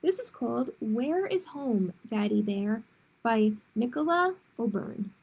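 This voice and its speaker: A woman's voice: fairly high pitch, animated, unhurried, gravelly, darkish tone, breathy edge, low-quality recording. A woman hosting a children's storytime, reading picture books aloud in simple phrases with casual asides to her listeners. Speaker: children's librarian, storytime host.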